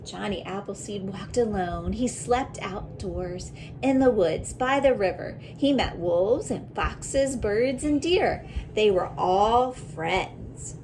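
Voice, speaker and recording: A woman reading a children's picture book aloud like a teacher, in a deliberate way, pausing to reflect and ask questions. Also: medium pitch, expressive, a little mellow, slight background noise, poor audio.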